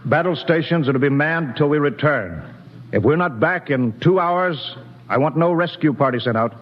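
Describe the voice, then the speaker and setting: An actor playing a firm, cautious spaceship captain, giving crisp, authoritative orders in a 1950s radio drama. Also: male voice, on the high side, animated, normal speed. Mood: commanding. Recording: dull old radio recording with hall reverb.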